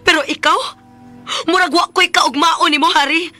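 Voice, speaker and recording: A woman's voice: extremely high pitch, steady tone, normal speed, pushed and bright. A woman acting a restless, evasive young girlfriend in a radio drama, speaking with a Cebuano Filipino accent. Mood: weary, blunt. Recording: radio drama over music bed.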